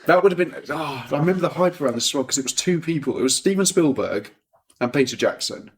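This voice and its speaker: A man co-hosting a casual film podcast. His pitch sits a little high and swings widely as he jokes and laughs. Faint noise hums behind him. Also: fast talker. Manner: playful, laughing, animated. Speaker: podcast co-host. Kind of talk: casual chat.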